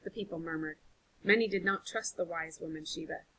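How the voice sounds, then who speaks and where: Female storybook narrator, teacherly and expressive, forceful tone, slightly raspy. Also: deep voice, fairly quick, rough recording. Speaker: teacher reading aloud.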